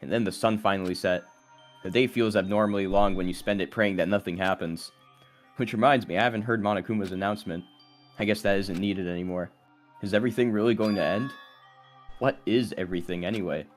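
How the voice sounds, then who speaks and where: Male actor as earnest teen protagonist, troubled and brooding, low register, dramatic anime game delivery over music. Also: a little fast, close to an everyday voice. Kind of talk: anime game dub, dramatic inner monologue and confrontation.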